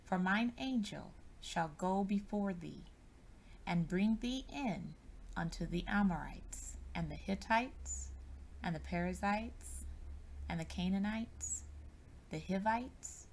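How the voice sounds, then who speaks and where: A woman reads scripture aloud in a solemn, measured and deliberate manner, as a scripted narration. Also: mid-range voice, creaky, rough recording. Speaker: scripture reader.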